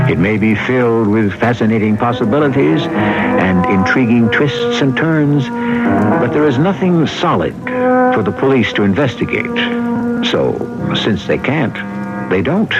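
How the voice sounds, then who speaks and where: A man playing a musing host who narrates a radio mystery in a measured, theatrical manner. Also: fairly low pitch. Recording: dull 1970s radio broadcast, loud music behind.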